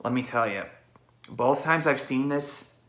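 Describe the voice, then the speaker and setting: Guy reviewing a movie, really excitable and emphatic, bright voice, bit of room echo. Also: medium pitch, a little slow. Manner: excitable, emphatic, acts out reactions. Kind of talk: animated video movie review, retelling scenes.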